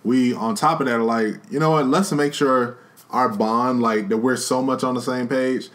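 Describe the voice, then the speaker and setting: A man in mid pitch range talking casually on a couple's podcast. He is relaxed and slangy, but earnest when he talks about becoming a father. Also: moderate pace. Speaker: podcast co-host, expecting father. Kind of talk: casual chat.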